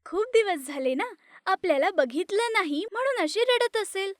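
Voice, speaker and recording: A woman voicing a cheerful young female relative in an animated story, with a bright, teasing manner. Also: very high-pitched, moderate pace, no echo, clean studio sound, pitched above the performer's own voice.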